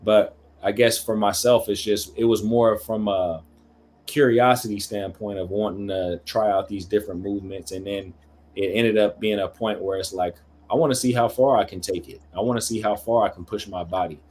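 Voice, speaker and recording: A man's voice: lowish voice, steady tone, normal speed, darkish tone, slight background noise. A man in his late thirties speaking as a podcast guest in casual conversation, explaining his views in a reflective way. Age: late 30s. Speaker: podcast guest, hybrid athlete.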